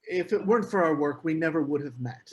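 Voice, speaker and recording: A man's voice: a little high, expressive, brisk, a little mellow, close to an everyday voice. A man playing a prickly, snobbish, awkward American academic with a dry manner, in a screenplay table read. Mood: earnest.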